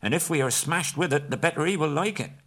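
Man doing a squeaky, nervous little hobbit. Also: fairly bright tone. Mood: worried. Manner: high squeaky voice, hurried and nervous. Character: small timid male hobbit, nervous and polite.

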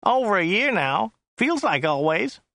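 A large, sweaty limo driver in a storybook, with a whiny, nasal voice pitched very high. He rambles on obtusely and insistently, in a lively swooping tone, recorded dry and close. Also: a little slow, creaky, no room sound, exaggerated voice. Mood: chatty, grumbling. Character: large sweaty dim chatty American limo driver, male.

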